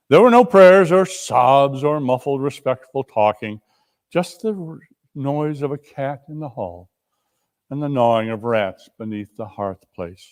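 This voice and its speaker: A man giving a dramatic reading of a classic story, with deliberate, solemn, theatrical phrasing. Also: mid-range voice, darkish tone, smooth. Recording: reverberant hall.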